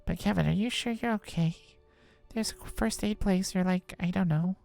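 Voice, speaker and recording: A woman voicing an emotional, caring schoolgirl in a visual novel, earnest and expressive. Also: lowish voice, moderate pace, gravelly, soft background music. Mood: concerned. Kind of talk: live visual novel voice acting.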